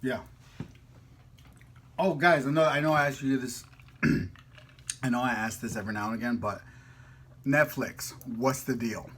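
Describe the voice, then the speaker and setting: A man's voice: medium pitch, a little slow, long pauses, a bit bright, slight background noise. A man hosting a casual mukbang vlog, chatting in a jokey, slangy, playful way while eating.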